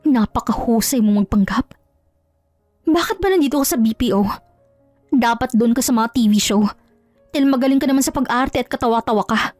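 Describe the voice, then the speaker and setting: A woman's voice: fairly high pitch, a little mellow, no echo, natural voice. A woman voicing a wronged young wife who speaks fiercely and indignantly. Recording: dry, faint music underneath.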